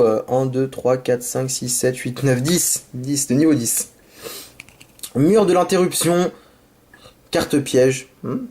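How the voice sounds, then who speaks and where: Man, slightly sick sore throat, chatty, apologetic, excitable, casual card-pack commentary. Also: mid-range voice, a little hiss or hum. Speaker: YouTuber opening card packs. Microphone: camera mic.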